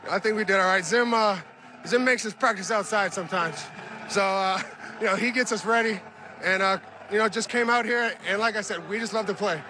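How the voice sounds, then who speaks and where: Young player, easygoing, on the field. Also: male voice, high voice, fairly quick, background noise. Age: young adult. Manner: easygoing, cliché answers with fillers. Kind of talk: post-game field interview. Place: outdoor stadium field, cold night. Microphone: handheld mic.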